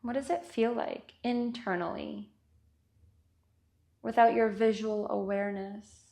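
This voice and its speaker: A woman guiding a body scan meditation with gentle, unhurried instructions and long pauses. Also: mid-range voice, fairly even intonation, creaky. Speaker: meditation guide.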